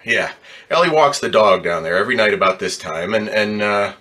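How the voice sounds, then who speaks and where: A man's voice: fairly low pitch, lively intonation, fairly quick, pushed and bright. A man playing an embittered, distraught ambulance driver in slangy, stammering old-time radio drama style. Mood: nervous, hesitant. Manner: slangy working-class talk, stammering when upset.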